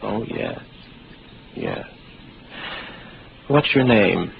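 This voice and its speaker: A man's voice: fairly low pitch, animated, very slow, creaky, heavily acted. A wry, weary spaceman, muffled old radio. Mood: detached, dry. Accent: American. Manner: wry, detached, musing. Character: weary, skeptical space station officer doubting his own sanity. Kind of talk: 1950s American radio drama acting. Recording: muffled, noisy 1950s radio broadcast.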